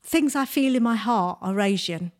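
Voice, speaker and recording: A woman's voice: medium pitch, moderate pace. A woman in her sixties who grew up in Nigeria and speaks Bengali as her first language, telling childhood stories as a guest and laughing often. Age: 60s. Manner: lively storyteller, laughs often. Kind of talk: casual interview chat.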